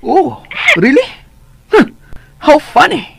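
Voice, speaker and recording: A man's voice: very high voice, sing-song, slow, vocal fry, a bit bright, dry recording, heavily acted. An actor with a Visayan Filipino accent playing a callous, sarcastic young man in a radio drama. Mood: dismissive. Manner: cold, sarcastic.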